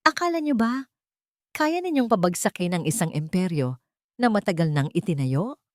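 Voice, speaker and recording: A woman voicing a ruthless male crime boss who shouts scornful threats, in an audio story. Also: high-pitched, very animated, normal speed, no echo. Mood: raging.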